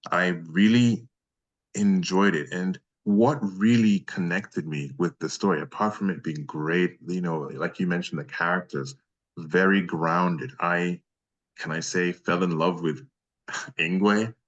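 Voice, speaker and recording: A man with a very low, bass voice talks in a casual interview. He is a voice actor and a guest, speaking in an effusive, appreciative way. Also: steady tone, a little slow, dull audio. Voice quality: deep bass voice.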